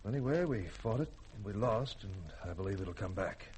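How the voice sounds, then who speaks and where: Commanding actor as a starship skipper, very animated. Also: male voice, lowish voice, brisk, close to an everyday voice, rough recording. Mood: tender, insistent. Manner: clipped orders, military authority. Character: commanding male starship skipper, gruff but caring. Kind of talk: sci-fi radio drama acting. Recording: hissy vintage radio drama recording.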